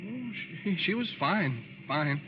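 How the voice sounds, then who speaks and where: A man's voice: high voice, very animated, slow pace, exaggerated voice. An actor playing a nervous, sheepish young newlywed man in old-time radio style, hesitant and stammering. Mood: reassuring, evasive. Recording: muffled, faintly noisy vintage radio recording.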